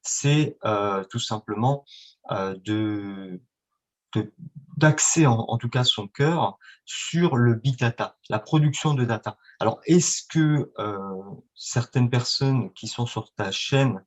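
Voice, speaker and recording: A man's voice: medium pitch, takes it slowly. Didactic man. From France or Italy, Franco-Italian. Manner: didactic, wordy, rhetorical questions. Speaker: guest, marketing agency representative. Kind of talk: explanatory interview talk, promotional.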